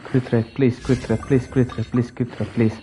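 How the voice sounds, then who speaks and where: A man's voice: medium pitch, flat delivery, moderate pace, mellow, faint music behind. A man from Finland doing casual live gameplay commentary, muttering to himself and repeating short phrases. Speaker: live game streamer.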